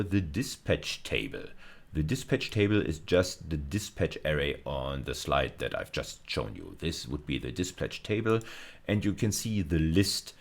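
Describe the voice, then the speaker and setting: A male university lecturer with an extremely low, gravelly voice talks through technical material. He explains informally and haltingly, repeating and rephrasing phrases as he goes.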